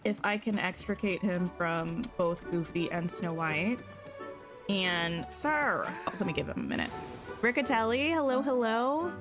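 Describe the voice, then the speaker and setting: A woman's voice: mid-range voice, expressive, measured pace, music in the background. A woman live-streaming a game, chatting casually and answering her viewers, her voice slightly scratchy. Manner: chatty, greets and answers viewers, thinks aloud. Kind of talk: casual live-stream chat while playing.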